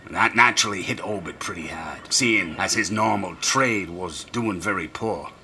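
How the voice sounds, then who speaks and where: A half-mad old New sailor in a radio drama. His voice is low-pitched and piercingly sharp, full of grammar, secrecy and fear. A faint ambience sits behind him. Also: moderate pace, a little hiss or hum, exaggerated voice. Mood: bitter, sly. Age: older. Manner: folksy, dropped grammar, dramatic whispers rising to shrieks. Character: rambling, half-crazed old drunken sailor, secretive and fearful.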